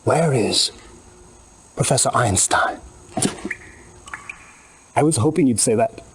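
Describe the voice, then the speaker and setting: A man's voice: medium pitch, expressive, slow pace, a little hiss or hum. A man playing a sinister, leering Soviet interrogator in a video game, drawing out words with mock-tender menace. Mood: menacing relish.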